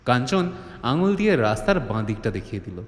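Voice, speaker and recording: Animated male storyteller with long reverb. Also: medium pitch, brisk. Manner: theatrical, suspenseful. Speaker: narrator. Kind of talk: dramatic scripted storytelling, horror-comedy. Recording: reverberant, faint ambient noise.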